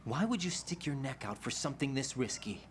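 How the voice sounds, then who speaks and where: Brief: actor doing a tense, questioning young game soldier, animated delivery. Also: male voice, medium pitch, a little fast, slight background noise, natural voice.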